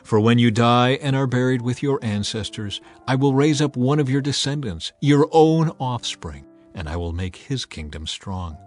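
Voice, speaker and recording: A man voicing a solemn, authoritative God who delivers promises in a measured, declamatory way, as in a dramatized scripture reading. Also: mid-range voice, expressive, darkish tone, soft background music, lightly acted.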